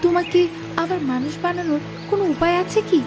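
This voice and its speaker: Woman voicing a high-pitched earnest cartoon girl. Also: brisk. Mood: earnest, concerned. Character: kind, stubborn young village girl befriending a flower. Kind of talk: Bengali cartoon fable voice acting. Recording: loud music under the voice. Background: music.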